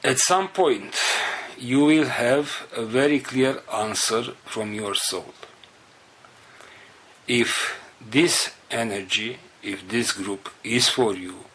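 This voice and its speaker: A man whose first language is Romanian, leading a spiritual group and giving an unscripted talk in slow, halting fragments. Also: fairly low pitch, fairly bright tone, slight background noise.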